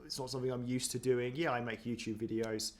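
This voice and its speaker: A man's voice: mid-range voice, flat delivery, fast talker. A man chatting casually as he reacts to footage of himself, self-deprecating, with many ums and restarts. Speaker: running vlogger.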